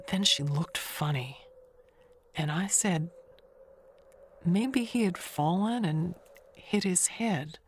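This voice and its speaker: An actress as a chatty older woman who speaks slowly and confides in halting, rambling turns. She sounds like she is guarding a secret in a mystery tale, over clear background noise. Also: lowish voice. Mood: guarded, uneasy. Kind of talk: audio mystery monologue.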